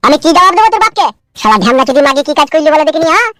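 A high-voiced performer, heard as a woman, voicing an angry, foul-mouthed son-in-law in a comic cartoon. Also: animated, very fast, fairly bright tone, no room sound. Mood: angry, ranting.

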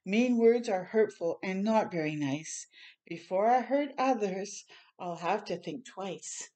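A woman's voice: mid-range voice, lively intonation, measured pace, mellow. An older woman doing a children's storytime, reading a picture book aloud and adding encouraging, chatty asides. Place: small room at home, indoors.

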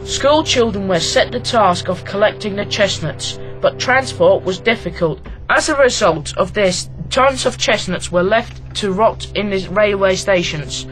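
A young man from Latvia reading aloud a school heritage project, careful and a little halting, with faint music underneath. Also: sits high, lively intonation, fairly bright tone, poor audio. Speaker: student presenter.

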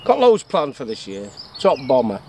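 A man's voice: medium pitch, huge pitch swings, normal speed, darkish tone. Chatty English man in the woods. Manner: jokey, chatty, asks himself questions and answers. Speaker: bushcraft vlogger. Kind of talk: casual outdoor demonstration. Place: woodland camp outdoors. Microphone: action camera on chest or tripod. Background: birdsong and faint outdoor noise.

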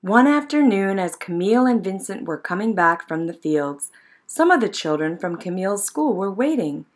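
The woman at story time in a primary classroom, reading a picture book aloud with measured expression, her pitch in the middle range. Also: big pitch swings. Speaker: storybook reader. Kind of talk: scripted narration, read-aloud of a children's picture book.

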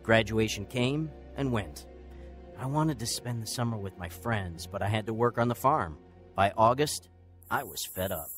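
A man's voice: on the low side, expressive, normal speed, soft background music, lightly acted. A man acting as narrator of a radio drama, playing someone earnestly recalling his bitter, lonely youth. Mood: reflective. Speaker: narrator and lead actor. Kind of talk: scripted first-person radio drama narration.

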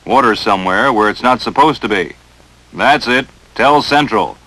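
A man's voice: mid-range voice, expressive, normal speed, sharp tone, poor audio. An American man narrating a 1940s instructional film in a stern, emphatic, dramatic coaching manner, on a vintage film soundtrack.

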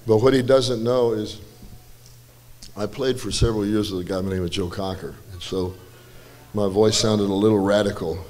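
An older man, a guest musician, telling a relaxed, rambling story with self-deprecating asides at a church, his voice slightly hoarse, on a handheld mic. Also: on the low side, moderate pace. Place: church stage, large indoor room. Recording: reverberant church hall with faint music.

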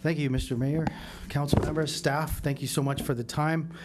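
A man's voice: fairly high pitch, fairly even intonation, normal speed. A man in his thirties or forties giving an informal update at a public meeting podium. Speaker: company representative at public comment. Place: council chamber. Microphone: podium gooseneck microphone. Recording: faint hum and noise.

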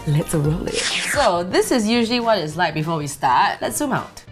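A woman's voice: fairly low pitch, big pitch swings, normal speed, soft background music. A young woman from Singapore with a Singaporean English accent, chatting in a bubbly, giggly way as the host of a beauty show, filmed in a small apartment set. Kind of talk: casual vlog chat. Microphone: off-camera mic.

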